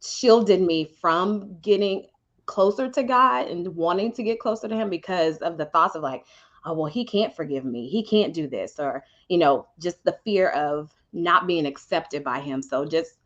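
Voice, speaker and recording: A woman from Houston, Texas, a podcast guest sharing her faith testimony in a reflective, halting way with many ums. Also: fairly low pitch, lo-fi sound. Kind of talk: personal testimony in conversation.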